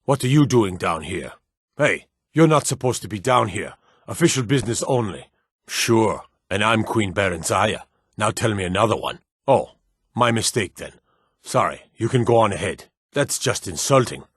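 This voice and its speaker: Low-voiced, gruff fantasy city guard. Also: male voice, lively intonation, moderate pace, no room sound, studio quality. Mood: stern, sardonic. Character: stern, dutiful fantasy city guard, adult man. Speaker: video game voice actor. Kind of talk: scripted game character dialogue.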